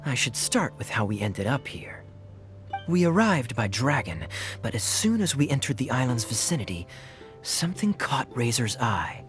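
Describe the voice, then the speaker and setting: A suave young knight captain, speaks with playful, knowing mockery. His voice moves lively up and down as he needles his companions. Faint music sits beneath the game dialogue. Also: medium pitch, moderate pace. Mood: teasing. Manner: teasing, wry and playful. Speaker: game character.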